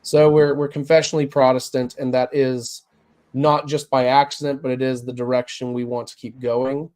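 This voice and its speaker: A man who is a priest, talking earnestly in a casual interview, his voice raspy as he recovers from bronchitis, with many fillers and restarts. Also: mid-range voice, flat delivery, moderate pace, darkish tone. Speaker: Anglican priest, guest.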